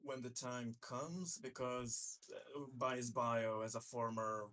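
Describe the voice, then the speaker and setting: Halting man with a mid-pitched voice, gaming. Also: fairly even intonation, fairly bright tone. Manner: halting, repeats words. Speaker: tabletop game player. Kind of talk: casual explanation of a character's plan.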